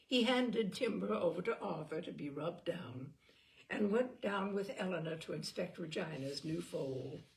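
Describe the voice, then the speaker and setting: A woman reading literary fiction aloud as an audiobook narrator, in an expressive, measured and articulate way. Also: on the low side, vocal fry, low-quality recording.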